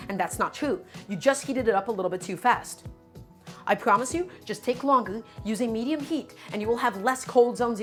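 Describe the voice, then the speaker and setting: A woman's voice: fairly high pitch, fairly bright tone. A woman whose first language is Russian, hosting a live cooking stream from her home kitchen. She explains each step in a chatty, teaching way. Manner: chatty, instructive, often says "my friends".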